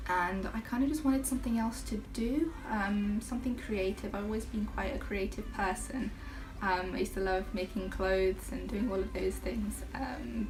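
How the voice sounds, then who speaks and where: A woman in her twenties from the UK, chatting casually to camera in a rambling way, with many fillers and soft laughs. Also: mid-range voice, low-quality recording. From United Kingdom. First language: half Brazilian, Portuguese spoken at home. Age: 20s. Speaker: vlogger. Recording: noticeable background noise.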